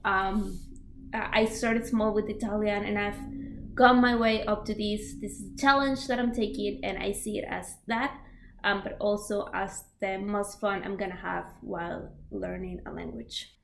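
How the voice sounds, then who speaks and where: A woman's voice: medium pitch, fairly even intonation, unhurried, gravelly, a bit bright, echoey room, slight background noise. A young woman, a native Spanish speaker with a Spanish accent, casually explaining language learning tips with lots of fillers and examples. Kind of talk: casual instructional talk.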